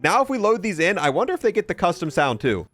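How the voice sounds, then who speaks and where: A man's voice: sits high, very animated, quick pace, a bit bright, slight background noise. A young man giving casual, matter-of-fact commentary as he explains and shows off video game content. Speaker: gaming video host.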